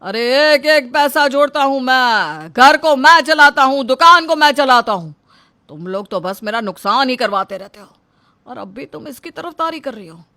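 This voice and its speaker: महिला की आवाज़ में गुस्सैल, रौबदार ससुर, तीखी आवाज़. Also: female voice, high voice, expressive, moderate pace, bright, forceful tone, voice pushed up, full character voice. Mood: angry, accusing. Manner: scolding, accusatory, self-important. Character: angry, domineering middle-aged shopkeeper father-in-law. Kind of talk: acted dialogue in a moral story.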